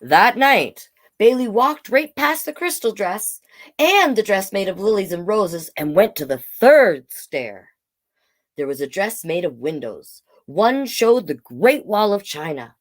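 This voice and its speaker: Slow, very expressive woman reading storybooks. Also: mid-range voice. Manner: expressive, coaxing, talking to young listeners. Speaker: storytime host, drag king performer. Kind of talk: children's storybook read-aloud with asides.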